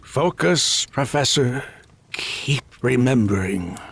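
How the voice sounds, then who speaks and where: Slow, gravelly, insistent sinister psychiatrist. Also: male voice, mid-range voice, lively intonation, fairly bright tone, heavily acted. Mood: insistent, coaxing. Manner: pressing, commanding. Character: sinister, insistent false psychiatrist, controlling man. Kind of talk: dramatic video game acting.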